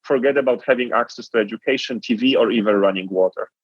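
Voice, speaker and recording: A man's voice: medium pitch, fast, breathy edge, no echo, lo-fi sound. A man from Poland with a Polish accent, telling his story as an interview guest in an earnest, reflective way. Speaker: guest entrepreneur.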